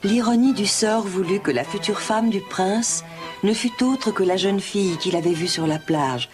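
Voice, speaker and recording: A woman's voice: mid-range voice, normal speed. A woman narrating a children's fairy tale from a script, as a storyteller. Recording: music behind.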